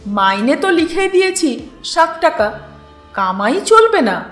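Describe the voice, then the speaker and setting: Stern high-voiced uncle acted by a woman. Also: sing-song, measured pace, fairly bright tone, exaggerated voice. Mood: stern, businesslike. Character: stern, businesslike uncle interviewing a tutor. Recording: roomy echo with music underneath.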